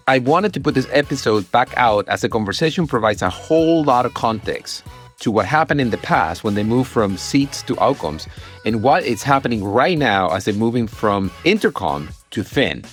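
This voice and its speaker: Animated man hosting a podcast. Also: mid-range voice. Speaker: podcast host. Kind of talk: podcast intro and interview talk.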